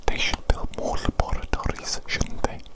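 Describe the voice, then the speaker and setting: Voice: moderate pace, natural voice. A performer voicing a doting evil mad doctor who scorns humans, in a repetitive, fussing whisper for an ASMR medical roleplay. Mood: quietly scornful.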